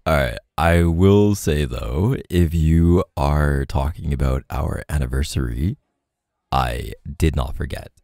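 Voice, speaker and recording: Guy acting as a laid-back sweet boyfriend, slow mellow gravelly voice, slangy asmr roleplay, super close dry sound. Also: rumbling low, no echo, heavily acted. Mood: playful. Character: easygoing, teasing but tender young boyfriend. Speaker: roleplay audio performer.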